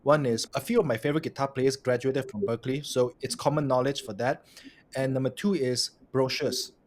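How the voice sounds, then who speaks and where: A man hosts a relaxed conversation, his voice at an ordinary middle pitch. He keeps it friendly and curious, pressing on with follow-up questions. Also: fairly quick. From Malaysia. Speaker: podcast host. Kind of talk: casual interview conversation.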